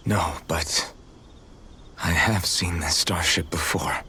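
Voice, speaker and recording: A man's voice: sits low, fairly even intonation, slow pace, bright, forceful tone, breathy edge, full character voice. A man voicing a resolute young warrior who leads his party in a dramatic video game. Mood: thoughtful.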